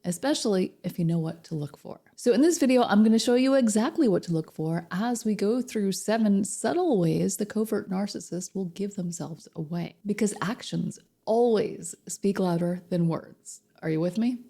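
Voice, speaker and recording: A woman coach with a slightly hoarse voice from a cold, chatting directly to viewers about relationships and asking them questions. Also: mid-range voice, animated, normal speed, few pauses, warm tone. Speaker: narcissistic abuse recovery coach. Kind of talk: casual direct-to-camera advice talk.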